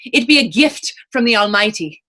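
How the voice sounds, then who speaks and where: A woman's voice: mid-range voice, lively intonation, normal speed, sharp tone. An actress playing a proud, defiant woman reputed to be a witch, taunting in folksy dialect in a stage reading. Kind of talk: stage readers theater acting.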